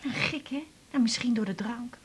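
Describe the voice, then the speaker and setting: A woman's voice: fairly high pitch, normal speed, breathy edge. An actress playing a hurt, chatty middle-aged mother confiding in a colloquial, rambling way, in a naturalistic radio drama. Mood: wistful, sad. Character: hurt, chatty mother back from a failed holiday.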